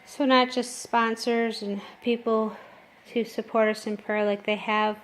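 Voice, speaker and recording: Middle-aged American woman, even-pitched, some noise. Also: unhurried. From Detroit, United States. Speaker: host, interviewer. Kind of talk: informal interview and appeal.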